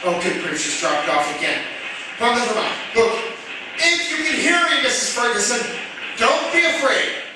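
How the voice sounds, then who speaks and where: An actor playing a brave, earnest firefighter, muttering with effort and calling out in a radio drama. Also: male voice, very high voice, big pitch swings, moderate pace. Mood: reassuring, urgent. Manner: effortful muttering, calls out to others. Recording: echoey, distant, clearly noisy.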